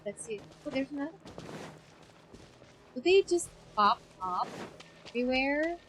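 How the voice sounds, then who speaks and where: A woman's voice: high-pitched, expressive, measured pace, fairly bright tone, slight background noise. A woman with a Filipino accent giving casual live gaming commentary, switching between two languages. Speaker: video game streamer. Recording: game music behind the voice.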